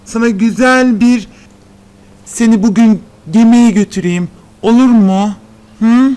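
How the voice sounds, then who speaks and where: Man acting a high, clear-voiced cartoon buddy. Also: takes it slowly, dark tone. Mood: coaxing.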